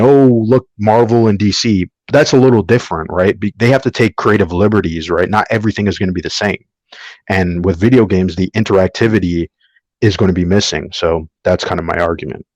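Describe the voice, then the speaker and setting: A man's voice: deep voice, fairly quick, darkish tone, no room sound, muffled recording. A man on a podcast panel giving his opinion in casual discussion, argumentative and often checking with "right?".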